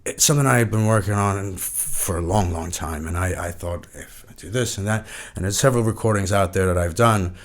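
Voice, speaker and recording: An actor playing a washed-up, self-important rock icon in a mock podcast interview, halting and offhand. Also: male voice, low voice. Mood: nostalgic. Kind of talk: scripted fiction podcast acting, mock interview.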